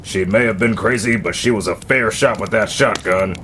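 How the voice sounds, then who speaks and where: Man playing a low-voiced gruff Old West bandit. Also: normal speed, pushed and bright, a little hiss or hum. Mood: grumbling. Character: gruff male western bandit, menacing and defiant. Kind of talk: western audio drama for children.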